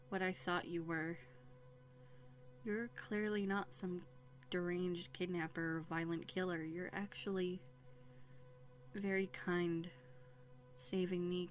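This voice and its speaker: Woman acting as young runaway princess in an audio roleplay, kinda low voice, earnest and a bit hesitant, music behind. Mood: apologetic, grateful. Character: young runaway princess, bookish, grateful, quietly bitter. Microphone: close USB condenser microphone.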